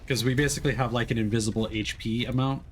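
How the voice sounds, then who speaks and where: A man's voice: medium pitch, steady tone, fast talker, slight background noise. A man streaming a video game, moving between casual commentary and reading story narration aloud.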